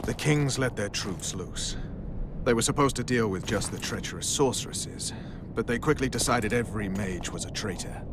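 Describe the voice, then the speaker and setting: An actor playing a hardened, grimly loyal soldier in a fantasy video game, blunt and sardonic. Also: male voice, lowish voice, moderate pace, noisy background, close to an everyday voice.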